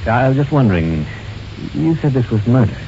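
A courteous, guarded art dealer, slightly hesitant, with lively swings in pitch. The old radio drama recording is muffled with light hiss. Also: male voice, brisk. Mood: probing. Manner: polite, hesitant, smooth-mannered. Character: polite, guarded art dealer hiding his guilt. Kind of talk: 1940s radio acting.

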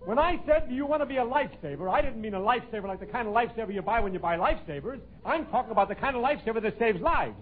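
A fussy, testy clerk in old radio comedy, pedantic and very high-pitched. His voice swoops, and the broadcast is thin and tinny. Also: expressive, very fast, hardly any pauses, a little hiss or hum. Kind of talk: 1940s radio comedy acting.

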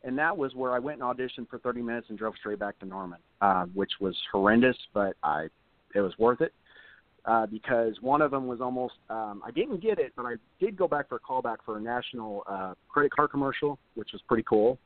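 Slightly hoarse man on a phone line. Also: medium pitch, animated, brisk, dry recording. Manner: rambling, many fillers and restarts. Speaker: actor and voice actor guest. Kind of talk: casual interview over the phone. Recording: tinny phone line, dry.

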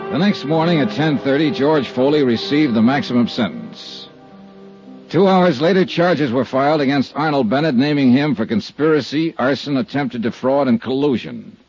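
An actor playing a steady, hard-boiled insurance investigator in a 1950s radio drama, clipped and matter-of-fact, with an American accent. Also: male voice, mid-range voice, breathy edge, no echo. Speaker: narrator and lead actor. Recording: dull 1950s radio recording, music behind.

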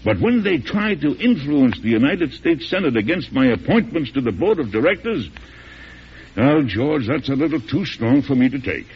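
An actor playing a shrewd, avuncular elderly American president in an old-time radio drama, with a gravelly, husky voice and emphatic delivery. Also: male voice, mid-range voice, big pitch swings, normal speed, exaggerated voice. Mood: grave, indignant. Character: folksy, shrewd, strong-willed elderly male US president. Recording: dull, muffled vintage radio recording.

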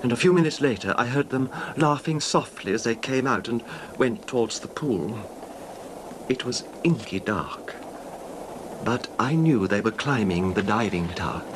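An actor playing a meek, quietly vengeful husband confessing in a hesitant, genteel, understated way, in old-time radio drama style. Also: male voice. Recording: noisy vintage radio drama, rumbling background.

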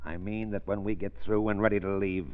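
An actor playing a hard, ruthless gang boss, curt and commanding, in an old radio drama. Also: male voice, on the low side, flat delivery, brisk, clear, resonant tone, lightly acted. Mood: commanding, cold. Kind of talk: 1930s radio drama acting. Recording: dull old radio recording.

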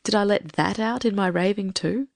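A woman voicing a proud, frail elderly spinster who has been humbled by illness, speaking gently and reflectively. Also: medium pitch, moderate pace, gravelly, no echo, studio quality, close to an everyday voice. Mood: wistful. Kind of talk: audiobook character reading.

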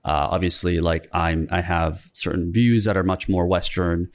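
Deep-voiced young male podcast host. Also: level tone, normal speed. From Korea. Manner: casual, loose, rambling questions. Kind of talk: casual interview chat.

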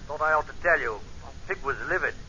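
A bluff British general, clipped and apologetic, with a very sharp, forceful voice pitched a little high. It is an old radio play with a thin, limited sound. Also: male voice, fast, highs cut off. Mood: bluff, concerned. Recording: band-limited, noisy old radio broadcast.

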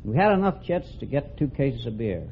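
An American man speaks in a folksy, plain way as a serviceman on a quiz show. His pitch is average for a man. The vintage radio recording is muffled, with a faint layer of noise. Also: very animated, normal speed. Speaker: quiz contestant, Navy Seabee serviceman. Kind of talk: anecdote telling on a quiz show. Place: stage before a live studio audience.

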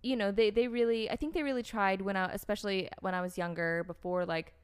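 An American woman in young adulthood speaks in an easygoing, conversational way. Her voice is smooth and clear at a middling pitch. She wanders through her thoughts, often restarting and filling gaps with "like" and "um.". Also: fairly even intonation, quick pace. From United States. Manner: rambling, heavy use of "like" and "um", frequent restarts. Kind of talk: casual conversational storytelling.

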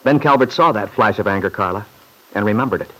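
An actor playing a knowing, ominous narrator in an old-time radio drama, speaking in measured, foreboding scripted narration. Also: male voice, on the low side, fairly bright tone, breathy edge, poor audio. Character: ominous, knowing narrator addressing the heroine directly.

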